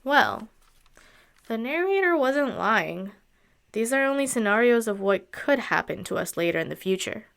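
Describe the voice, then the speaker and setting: A woman's voice: a little high, expressive, moderate pace, vocal fry, a bit bright, smooth. A woman playing a skeptical, sensible traveling musician in a radio drama, questioning and matter-of-fact.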